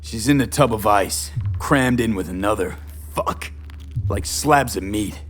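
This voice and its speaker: Man acting low-voiced, terse American mercenary. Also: measured pace, faint music behind, natural voice. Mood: focused, tense. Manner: clipped, streetwise, matter-of-fact. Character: terse, guarded young male mercenary in a cyberpunk city. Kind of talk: video game voice acting.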